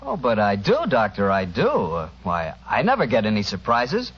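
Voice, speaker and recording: A man's voice: fairly low pitch, huge pitch swings, normal speed, breathy edge. An American man announcing and hosting an old-time radio show, with a folksy, chatty salesman's delivery. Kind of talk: 1940s radio sponsor pitch and scripted banter. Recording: dull, noisy 1940s radio recording.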